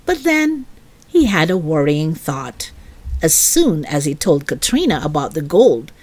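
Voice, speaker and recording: A woman reading a story aloud to children in an expressive, deliberate, child-directed way. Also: low-pitched.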